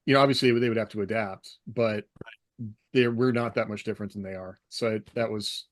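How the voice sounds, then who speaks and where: A man's voice: on the low side, fairly even intonation, fairly quick. A man hosting a podcast in a casual, conversational style, easygoing and informal. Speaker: podcast host. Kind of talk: casual podcast chat and sign-off.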